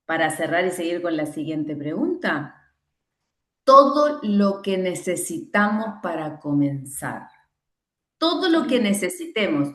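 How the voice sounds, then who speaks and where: A woman's voice: fairly low pitch, very animated, unhurried, fairly bright tone, roomy sound. A middle-aged woman from Argentina with an Argentine accent, leading a training talk and emphatically acting out imagined excuses and prayers. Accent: Argentine Spanish. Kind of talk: teaching conversation.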